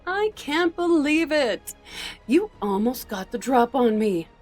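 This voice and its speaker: A smug supervillain played by a woman in an audio drama. She sounds mocking and theatrical, taunting whoever stands against her, at a medium pitch with faint music underneath. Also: big pitch swings, slow, a little mellow, exaggerated voice. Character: smug, mocking female supervillain holding hostages.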